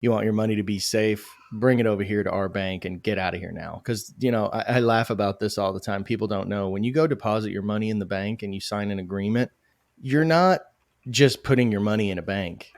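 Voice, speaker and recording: A man's voice: on the low side, a little fast, no echo, studio quality. A man, a crypto project founder, speaking emphatically and animatedly in a podcast interview, often correcting himself mid-sentence.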